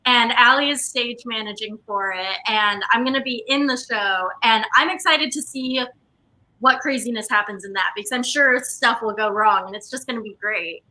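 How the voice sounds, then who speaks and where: Very bright-voiced woman, casual guest. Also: on the high side, moderate pace, poor audio. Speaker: guest, stage actor from a theater company. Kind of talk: casual anecdotal chat.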